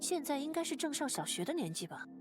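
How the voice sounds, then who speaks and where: Lively inquisitive young woman, loud music. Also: high voice, fast talker. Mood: curious, casual. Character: young woman visitor, inquisitive, making small talk. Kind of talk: visual novel voice acting. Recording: loud game music over the voice.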